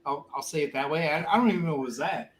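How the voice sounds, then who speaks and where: A man's voice: on the high side, fast, a crowd in the background. A man in his late thirties hosting a casual group livestream chat. He jokes, swears freely and repeats himself as he talks. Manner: loud, joking, swears freely, repeats words. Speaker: host of a bourbon livestream. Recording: echoey room with faint background noise.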